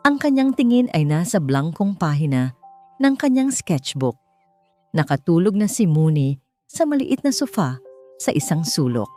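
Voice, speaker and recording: A woman's voice: fairly low pitch, sing-song, moderate pace, mellow. A woman with a Filipino accent narrating a scripted dramatic romance story, as a storyteller. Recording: music under the voice. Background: background music.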